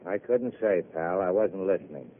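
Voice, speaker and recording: A man's voice: deep voice, normal speed, natural voice. An actor playing a hardened gangster who talks in clipped tough-guy slang, in old radio drama. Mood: menacing. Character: hardened male gangster, terse and menacing. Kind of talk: 1930s radio drama acting. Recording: tinny old broadcast with hiss.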